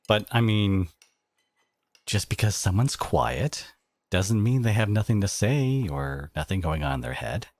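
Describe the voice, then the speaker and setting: A man acting a devoted, protective boyfriend in an audio roleplay, speaking in an earnest, halting, conversational way with an edge of sarcasm. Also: lowish voice, no room sound, full character voice. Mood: warm, amused. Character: devoted, protective boyfriend confronting his partner's family, adult man.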